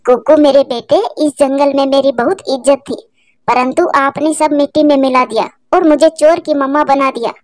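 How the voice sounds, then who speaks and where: A woman's voice: high voice, fairly even intonation, moderate pace, a little mellow, no room sound, studio quality, lightly acted. A woman voicing an anxious, emotional mother sparrow in a cartoon, devoted and pleading. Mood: sorrowful.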